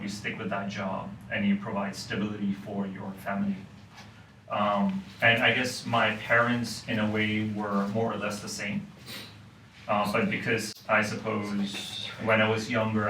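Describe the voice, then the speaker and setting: A man's voice: on the low side, pushed and bright. A man from Hong Kong, a university lecturer, talking thoughtfully as a podcast guest with frequent fillers. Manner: thoughtful, many "um" and "you know" fillers. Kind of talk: casual podcast conversation. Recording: roomy, distant mic with faint noise.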